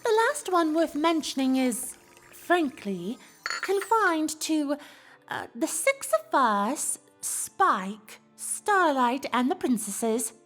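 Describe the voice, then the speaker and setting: Posh-mannered cartoon unicorn gal, high voice, super swoopy and dramatic, teasing gossip. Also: female voice, big pitch swings, a bit bright, soft background music, heavily acted. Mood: coy gossiping. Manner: affected, genteel, theatrical delivery with drawn-out words. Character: elegant, teasing female unicorn socialite, theatrical and gossipy. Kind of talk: fan fiction audio drama acting.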